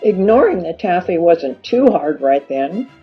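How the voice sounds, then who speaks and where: A woman reading a children's story aloud as an expressive narrator, stretching words for emphasis. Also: lowish voice, measured pace, breathy edge. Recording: dull lo-fi recording with music behind. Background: music.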